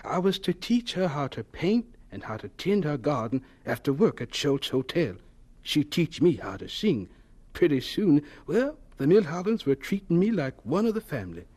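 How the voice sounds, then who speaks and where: A man acting in an audio drama as a gentle, earnest, humble young artist who works as a hotel cook, speaking in a heartfelt, sometimes hesitant way. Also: on the high side, big pitch swings, moderate pace, slight background noise. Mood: warm reminiscence.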